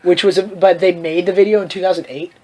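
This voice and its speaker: Man, high voice, comedic read-aloud host, theatrical delivery, sarcastic exclamatory asides. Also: fairly even intonation, fast talker, mellow. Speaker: host reading fan fiction aloud. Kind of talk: comedic dramatic reading with casual commentary.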